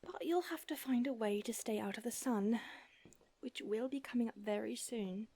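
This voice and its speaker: A woman's voice: fairly high pitch, normal speed, warm tone. A woman whispering as a sardonic centuries-old vampire. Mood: dry teasing. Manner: dry, sardonic teasing. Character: centuries-old female vampire, aloof and prickly. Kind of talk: whispered audio roleplay.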